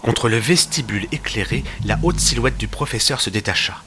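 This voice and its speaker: A man playing an earnest, wry detective's companion who narrates an audio drama in measured, literary storytelling. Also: mid-range voice, animated, noisy background, close to an everyday voice. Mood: suspenseful. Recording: music under the voice. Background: music.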